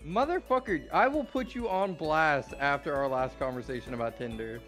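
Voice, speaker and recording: A woman with a very deep voice, bluntly teasing her friends during a game session. It is casual chat, with faint music underneath. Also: normal speed.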